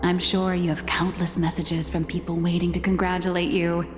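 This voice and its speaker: A woman's voice: fairly low pitch, level tone, normal speed, in a large hall, natural voice. A woman playing an easygoing, teasing female confidante in a video game, speaking casually and unhurriedly. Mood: wry, relaxed.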